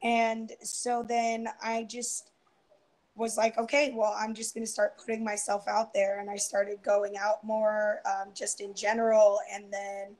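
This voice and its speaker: Soft, hesitant young woman losing her voice. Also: medium pitch, completely flat delivery, measured pace, rough recording. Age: 20s. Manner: soft-spoken, hesitant, many ums and restarts. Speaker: guest on a live round-table stream. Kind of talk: casual personal sharing. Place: indoors, home room. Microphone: earphone headset on a video call.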